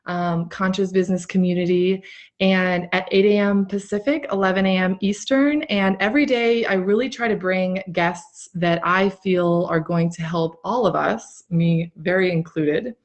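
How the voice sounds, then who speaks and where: A woman with a slightly low voice hosts a casual online interview. She talks in an earnest, conversational way, letting her sentences wander as she goes. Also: normal speed. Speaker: host of a live interview show. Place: remote online live video call.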